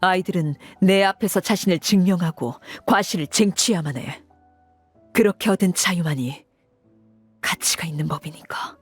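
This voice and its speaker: A stern, commanding matriarch, measured and authoritative. Her voice is low and husky, with faint music underneath. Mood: composed. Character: cold, commanding adult female Harbinger, stern matriarch. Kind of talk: game cutscene dub.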